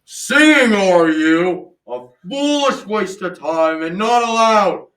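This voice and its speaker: In an echoey room, a mean giant son acted by a teenage boy threatens slowly. The voice is high for a male, drawn-out and menacing. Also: expressive, fairly bright tone, recorded from a distance, exaggerated voice. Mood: mocking, threatening. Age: young teen. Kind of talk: school radio drama acting.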